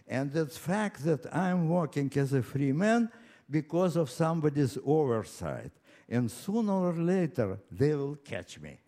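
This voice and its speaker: A man of ninety-nine from Ukraine with a Russian accent, telling his own story live in a halting, deliberate way with repeated words and pauses. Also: a little high, warm tone. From Ukraine, later Moscow. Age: 99, nearly one hundred. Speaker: personal storyteller. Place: live stage before an audience.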